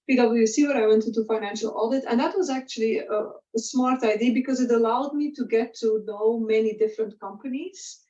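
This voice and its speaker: Middle-aged female guest, casual halting storyteller, raised pitch, recorded in a very echoey room. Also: a little mellow. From Belgium. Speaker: guest, corporate professional. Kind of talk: casual personal career story. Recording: roomy, distant, dull lo-fi audio.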